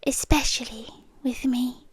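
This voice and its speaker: A young British woman performing a scripted ASMR girlfriend roleplay in a soft, whispery, intimate way, close to the mic. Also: high voice, steady tone, measured pace, slightly rough, dry recording.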